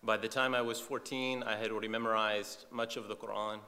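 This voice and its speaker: A man's voice: fairly low pitch, flat delivery, a little fast, bright, forceful tone. Man preaching in a long-reverb hall. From eastern Saudi Arabia. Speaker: visiting preacher. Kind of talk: sermon testimony in short phrases for an interpreter.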